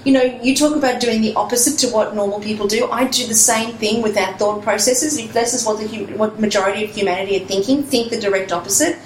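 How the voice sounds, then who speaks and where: A woman's voice: mid-range voice, fast, few pauses. A woman hosting an interview, enthusiastic and rambling with lots of fillers. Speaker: podcast host. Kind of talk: conversational interview. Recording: echoey room, faint hiss.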